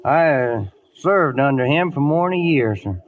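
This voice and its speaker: An actor playing a humble, grieving cavalry trooper, a man who speaks haltingly, in a 1950s radio drama. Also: medium pitch, animated, close to an everyday voice. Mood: grieving, hesitant. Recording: muffled 1950s radio broadcast.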